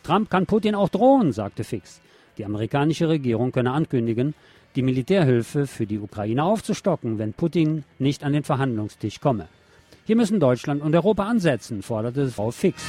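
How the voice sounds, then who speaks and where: A man's voice: medium pitch, sing-song, normal speed, mellow, no echo. Sardonic man reading news over music. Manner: sardonic, mocking asides. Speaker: radio show host. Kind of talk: reading news articles aloud with sardonic commentary.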